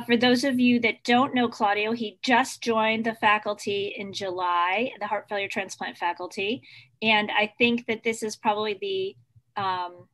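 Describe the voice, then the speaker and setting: A woman introducing a guest lecturer at a hospital grand rounds session, halting with frequent ums. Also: mid-range voice, fairly bright tone. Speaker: host introducing the speaker.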